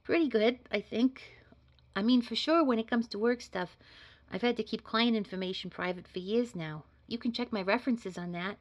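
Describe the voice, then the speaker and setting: A woman's voice: fairly high pitch, moderate pace, natural voice. A woman playing an earnest, modest job candidate in an audio drama, talking in a chatty, hesitant, polite way. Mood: nervous, earnest. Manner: hesitant, rambling, informal, polite. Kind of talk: naturalistic scripted audio drama dialogue.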